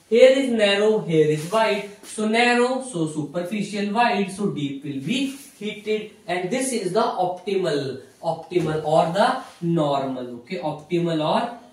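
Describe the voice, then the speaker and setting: A young man with an Indian accent gives a lecture, his pitch swinging up and down with emphasis. He repeats phrases and poses questions that he answers himself. The room is very echoey and the microphone sits some way off. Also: expressive, slow. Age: young adult. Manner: repetitive, asks and answers own questions. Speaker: physiotherapy lecturer. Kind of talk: whiteboard lecture. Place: small indoor room at a whiteboard. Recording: echoey room, distant mic.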